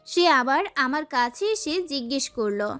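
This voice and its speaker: A young woman playing a sassy, feisty girl who tells her own love story in an expressive, girlish way. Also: sits high, measured pace, soft background music. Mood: dramatic, musing. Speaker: narrator and lead actress. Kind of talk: dramatised first-person romance story narration.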